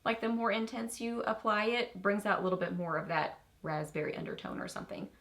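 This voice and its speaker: A woman's voice: mid-range voice, brisk. Brief: Texan woman beauty vlogger, mid-range voice, chatty and bubbly, loses her thread mid-sentence. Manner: bubbly, chatty, easily excited, with rambling asides. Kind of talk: casual makeup review.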